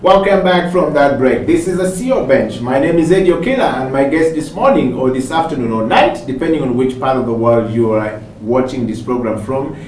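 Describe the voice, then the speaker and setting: A man hosting a studio interview, speaking in a measured, reflective way with pauses. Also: mid-range voice, expressive, darkish tone. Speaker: talk show host. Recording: roomy, distant mic with faint noise.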